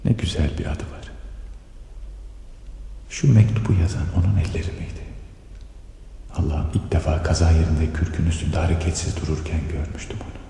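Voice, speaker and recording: A man's voice: extremely low pitch, vocal fry, mellow, slight background noise. A man acting in a radio play as a lovesick married stationmaster, awkward and hesitant. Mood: wistful longing. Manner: hesitant, halting with women, dreamy when alone. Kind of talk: Turkish radio theatre acting.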